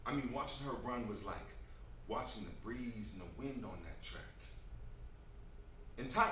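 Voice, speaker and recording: Actor as hardworking older brother, echoey and distant. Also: male voice, medium pitch. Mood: teasing, insistent. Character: Leroy, hardworking older brother, gruff but caring mechanic. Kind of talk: staged reading of a screenplay. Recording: roomy, distant mic, loud background noise.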